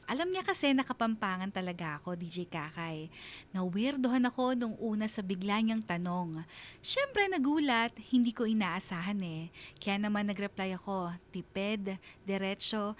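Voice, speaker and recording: A woman's voice: medium pitch, close to an everyday voice. Woman playing an earnest single mother, noisy backing. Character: reflective single mother recounting her rekindled love story. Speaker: narrator of a radio drama letter. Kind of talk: dramatized confessional storytelling to a radio DJ.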